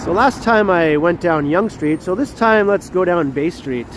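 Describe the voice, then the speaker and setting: A man's voice: high-pitched, animated, moderate pace. A man with a stuffy nose from a cold, chatting and greeting viewers by name while he walks a city street on a livestream. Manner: chatty, laughs often, greets chat readers by name. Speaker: livestream host. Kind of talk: casual walking livestream chat. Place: city street outdoors at night, with traffic. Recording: outdoor street noise.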